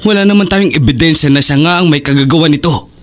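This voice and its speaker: A man's voice: a little high, quick pace, lightly acted. A man playing a grieving, skeptical young Filipino widower in a horror audio drama, with an exasperated manner. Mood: doubtful. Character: grieving widowed son, skeptical, quietly vengeful.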